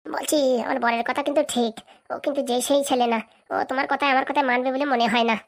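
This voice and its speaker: A woman's voice: high voice, steady tone, fast talker. A woman voicing a greedy, domineering village madam with a rural Bengali accent in a comedy cartoon. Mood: wondering, suspicious. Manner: domineering, scolding. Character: bossy, greedy village madam running for election.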